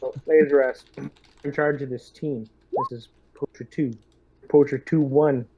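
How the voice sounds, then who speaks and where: Mid-pitched man roleplaying an officer. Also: fairly even intonation, measured pace, husky, muffled recording. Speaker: player in a tabletop roleplaying game. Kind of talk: casual in-character roleplay as a military lieutenant.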